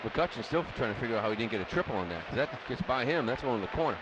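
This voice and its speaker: A man's voice: mid-range voice, expressive, rapid-fire, muffled recording, noisy background. A man working as a baseball color analyst on a live radio broadcast, conversational and full of opinions. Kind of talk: live sports color commentary. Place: stadium broadcast booth.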